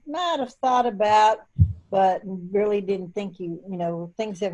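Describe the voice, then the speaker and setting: A woman in her eighties on a video call, chatting casually and meandering through her thoughts with frequent pauses. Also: fairly low pitch, warm tone, low-quality recording. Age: 80s. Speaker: call participant.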